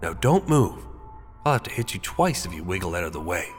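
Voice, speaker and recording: A man voicing a calm, taunting, seductive female killer in a horror story, deliberate in manner. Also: low-pitched, big-room sound, faint music behind, pitched below the performer's own voice. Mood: coolly scolding.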